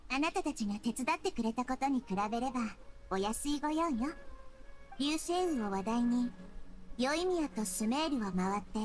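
A woman voicing a kind, wise childlike goddess in a Japanese anime game, speaking in a soft, composed, explanatory manner. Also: high-pitched, lively intonation, moderate pace, soft background music. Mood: helpful. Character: gentle, wise childlike girl deity, calm and kind.

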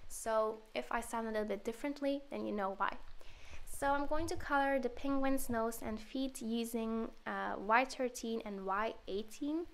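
A woman narrating a card-making tutorial in a relaxed, step-by-step way, with a slightly sore throat from a cold. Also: on the high side. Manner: relaxed step-by-step explaining with fillers. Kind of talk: voice-over craft tutorial.

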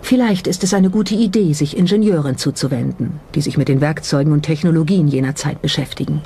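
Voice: mid-range voice, mellow. A narrator reading scripted documentary commentary in German voice-over style. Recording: voice over background music. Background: background music.

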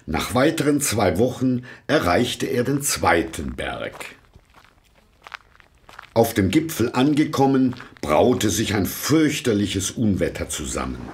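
A man narrating a scripted fairy tale in a deliberate, expressive storytelling manner. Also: lowish voice, slight background noise. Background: music.

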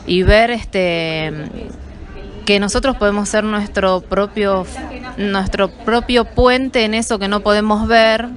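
A woman answering interview questions at an event, hesitant and halting, often repeating words. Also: mid-range voice, fairly even intonation. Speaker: event attendee being interviewed. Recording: chattering crowd at an event.

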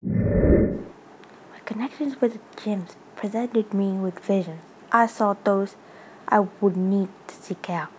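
A woman voicing a composed, serious young cat princess in an amateur comic dub, speaking in a measured, formal way. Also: mid-range voice, darkish tone, slight background noise, lightly acted, rough recording. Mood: solemn, reminiscing. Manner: measured, formal, deliberate delivery.

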